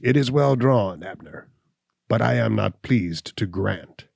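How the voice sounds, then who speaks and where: A man voicing a scornful, cynical hunchbacked old landowner who speaks slowly and defiantly. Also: on the low side, expressive, vocal fry, darkish tone, no room sound, clean studio sound, full character voice.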